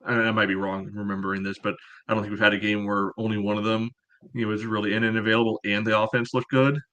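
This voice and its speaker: A man co-hosting a podcast, chatting casually about sport with a hedging, measured way of making points. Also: on the low side, little pitch movement, bright, forceful tone. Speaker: podcast co-host.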